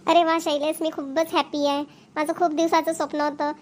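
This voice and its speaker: High-voiced young wife, eager, coaxing, pleading, voiced by a woman. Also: level tone, brisk, close to an everyday voice. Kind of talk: cartoon voice acting.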